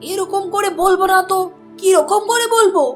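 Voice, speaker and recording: A woman's voice: very high-pitched, fairly even intonation, normal speed, faint music behind. A woman voicing a nagging, scornful wife in a cartoon who scolds her husband. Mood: scolding. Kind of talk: cartoon voice acting.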